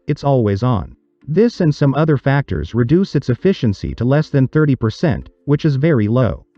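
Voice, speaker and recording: A man reading a scripted explanatory narration for a tutorial, in a measured, didactic, step-by-step manner. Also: medium pitch, dry recording, muffled recording.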